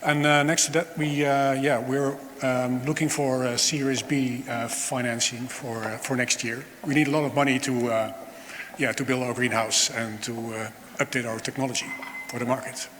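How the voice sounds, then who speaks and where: A man's voice: medium pitch, flat delivery, moderate pace, a bit bright. A man, a startup co-founder, answering interview questions on stage with frequent hesitations. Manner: hesitant, many uh fillers. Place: large event hall on stage. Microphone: throwable catch box mic. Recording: hall reverb with faint room noise.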